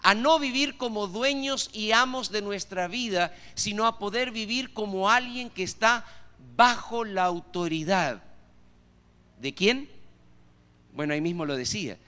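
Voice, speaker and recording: A man's voice: sits high, measured pace, sharp tone. A man with an Argentine accent preaching a sermon as pastor, often putting rhetorical questions to the congregation. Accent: Argentine Spanish. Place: church hall. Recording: reverberant church hall.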